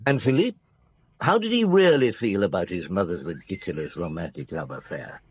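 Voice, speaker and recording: Middle-aged detective, low husky voice, lively intonation, hesitant, probing, radio drama. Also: male voice. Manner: hesitant, musing, with murmured hums and questions. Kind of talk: radio drama dialogue and first-person narration.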